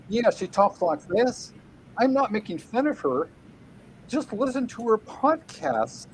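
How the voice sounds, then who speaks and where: A man's voice: sits high, a little hiss or hum, rough recording. A middle-aged man, a guest writer on a video call, ranting angrily with swearing, repeated words and stumbling restarts. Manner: angry, profane, repeats himself, stumbling restarts. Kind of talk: angry livestream rant.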